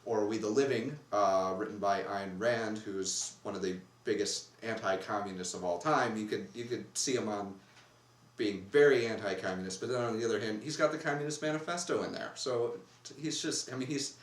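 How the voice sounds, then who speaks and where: A man's voice: on the low side, a little fast. A man co-hosting a casual discussion, rambling and breaking off mid-sentence to restart. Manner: rambling, self-interrupting. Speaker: co-host. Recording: echoey room, distant mic, faint noise.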